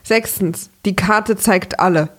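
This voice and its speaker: A woman's voice: medium pitch, slow, a bit bright. A young woman co-hosting a podcast, chatting casually and reading out listener mail, teasing and laughing often. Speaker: podcast co-host.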